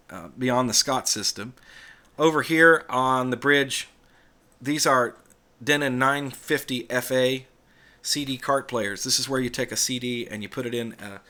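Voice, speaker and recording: Casual tutorial host: American male, middle-aged, medium pitch, unscripted and explanatory delivery. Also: a bit bright. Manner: conversational, explanatory. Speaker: video host, former radio worker.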